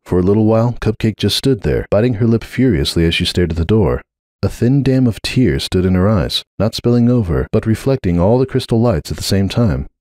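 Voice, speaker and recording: Expressive low-voiced man narrating fiction. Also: brisk, no room sound, studio quality. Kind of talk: dramatic scripted story narration.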